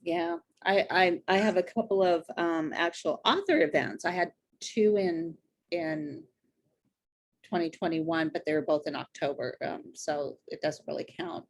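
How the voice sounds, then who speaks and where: An American woman from Idaho talking casually as an author in an interview, in a halting, rambling way. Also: on the low side. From Idaho, United States.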